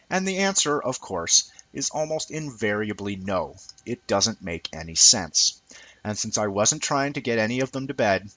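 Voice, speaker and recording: Low-voiced man reading an essay, husky. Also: sharp tone, dry recording, low-quality recording. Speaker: reader of a written essay. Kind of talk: scripted essay read aloud.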